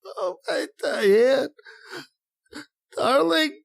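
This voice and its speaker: A man playing a desperate, grieving man in an old-time horror radio drama, overwrought and halting. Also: very high-pitched, animated, creaky, no echo, exaggerated voice. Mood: anguished, pleading. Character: anguished desperate man, grieving, defiant against a monster.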